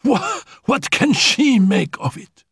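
A man's voice: big pitch swings, takes it slowly, vocal fry, breathy edge, dry recording, studio quality, full character voice. A man voicing a frail, feverish young woman who is dying but defiant, coughing and laughing breathlessly as she shrieks. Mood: bitter shrill. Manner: coughing fits, breathless laughter, shrill outbursts.

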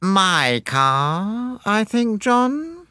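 An imperious wife of high society, as a male narrator puts her on. The voice is high, shrill and penetrating, swooping with haughty, cutting coldness in storybook style. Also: sing-song, extremely slow, pushed and bright, clear, resonant tone, no room sound, studio quality, exaggerated voice.

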